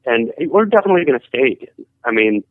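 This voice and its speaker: A man calling in to tell a ghost story in a casual, halting way, full of hedging fillers, over the phone. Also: medium pitch, sing-song, no room sound. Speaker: caller sharing a ghost story. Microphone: telephone. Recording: tinny phone line.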